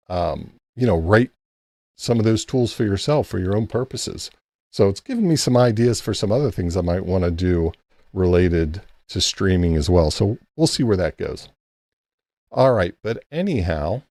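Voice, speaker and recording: A man's voice: lowish voice, mellow, no echo, clean studio sound. A man hosting a casual late-night ham radio live stream, rambling easily and thanking chat members by name.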